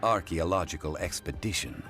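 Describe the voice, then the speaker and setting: Very deep, dignified stern gentleman. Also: loud music behind the voice. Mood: measured, knowing. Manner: formal, measured, grave. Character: calm, stern, dignified learned gentleman, formal and grave.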